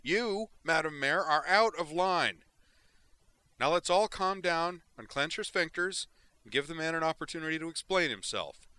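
A man's voice: mid-range voice, big pitch swings, fast talker, dry recording, voice pushed up. A man doing a bellowing security chief. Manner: bellowing, shouting.

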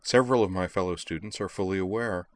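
A man voicing a calm, impassive female robot student in an audiobook, precise, polite and matter-of-fact. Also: deep voice, fast, natural voice. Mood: calm, flat.

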